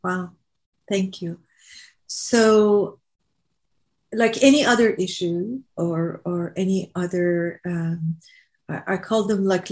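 Low-pitched woman coaching, measured. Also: darkish tone. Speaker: host and life coach. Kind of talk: coaching session on a podcast.

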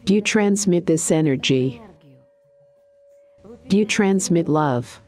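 A woman guiding a meditation in a calm, deliberate, soothing way, with pauses between short phrases. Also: fairly low pitch, faint music behind. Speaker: meditation guide and coach. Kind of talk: guided meditation narration.

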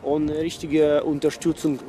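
A man speaking unscripted in an interview, earnest and halting, repeating words as he searches. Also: on the high side, flat delivery, mellow, a little hiss or hum. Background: music.

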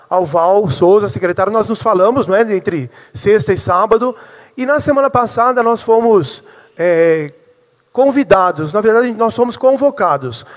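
A man in his forties with a notably high voice gives an earnest speech from a podium microphone in a council chamber. He asks himself rhetorical questions and restarts phrases as he goes. The hall leaves a long reverb behind every line. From Brazil. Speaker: city councilman.

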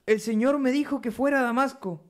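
Man acting a high-pitched, shaken blinded traveler. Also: a little fast, a little hiss or hum. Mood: distressed. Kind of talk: religious radio drama acting.

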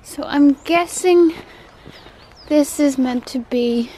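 High-voiced woman chatting on a woodland walk. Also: takes it slowly, warm tone, slight background noise, rough recording. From Northern Ireland. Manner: chatty, enthusiastic, talking while walking outdoors. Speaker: travel vlogger. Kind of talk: casual vlog narration while walking. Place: outdoors in woodland park.

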